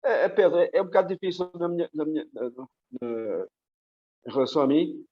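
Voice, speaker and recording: A man, a football coach answering interview questions in a halting, repetitive way, on a slightly lo-fi connection. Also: on the high side, expressive. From Portugal, long settled in South Africa.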